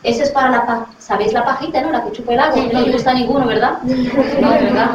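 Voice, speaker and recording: A woman's voice: a little high, steady tone, fast talker, over a noisy crowd. A middle-aged woman from Western Sahara, a dentist, talking to a class of children and asking them questions as she goes. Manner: friendly, asks the children questions to check they follow. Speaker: dentist visiting a school class. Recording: very echoey, far from the mic, dull lo-fi sound.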